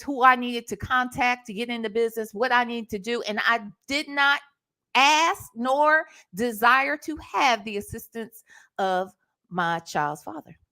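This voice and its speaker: Casting: middle-aged American woman, livestream storyteller, higher-pitched voice, sassy and emphatic, conversational delivery. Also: moderate pace. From Ohio, United States. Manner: animated, emphatic, sassy, conversational with tag questions. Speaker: livestream host. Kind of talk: casual storytime chat.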